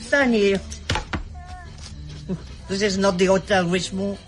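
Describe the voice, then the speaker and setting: An actor playing a curt, grudging clerk of a shabby hotel in a radio drama, gruff and fond of rules. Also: male voice, high voice, steady tone, slow, over music.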